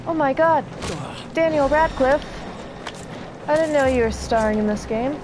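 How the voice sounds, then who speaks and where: Young woman livestreaming a game, swears and jokes around, highish voice, noisy game sound behind her. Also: unhurried. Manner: profane, playful, sarcastic. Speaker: game streamer. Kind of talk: casual live gameplay commentary. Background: video game ambience.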